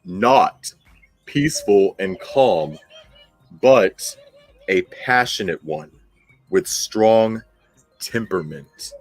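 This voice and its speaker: A man's voice: fairly low pitch, animated, very slow, long pauses, faint music behind, low-quality recording. A man hosting an astrology commentary, part reading and part ad-libbing, speaking bluntly and emphatically and repeating phrases for emphasis. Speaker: host of an astrology commentary channel.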